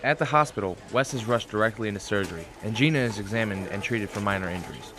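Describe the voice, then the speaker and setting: A man's voice: fairly low pitch, fast. Man narrating a radio drama over music.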